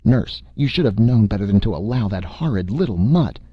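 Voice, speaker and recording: A man voicing a shrill, haughty society mother who is disgusted by a dog. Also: expressive, a little fast, breathy edge, no echo, lo-fi sound. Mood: imperious.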